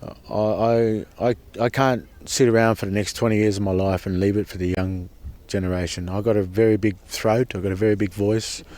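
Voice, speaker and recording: A man's voice: lowish voice, fairly even intonation, brisk, hardly any pauses, a little mellow. A man giving an earnest, impassioned personal account as a protester, often repeating and restarting his words. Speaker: protester at a sacred site camp.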